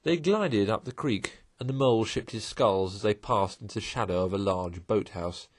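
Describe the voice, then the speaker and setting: A man reading a classic children's storybook aloud in a lively way. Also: lowish voice, moderate pace. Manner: lively, sings a verse in the story. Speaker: audiobook reader.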